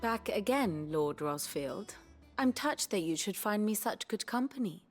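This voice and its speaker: A woman's voice: medium pitch, animated, measured pace, faint music behind, lightly acted. A woman playing a composed, worldly scholar and counsellor in a fantasy game, explaining lore with eloquent, arch deliberation. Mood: wry teasing.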